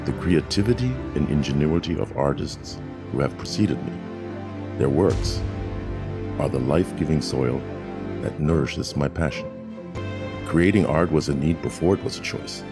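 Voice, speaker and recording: Man, 50s, very deep clear voice, slow dramatic narration, music underneath. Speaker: film narrator.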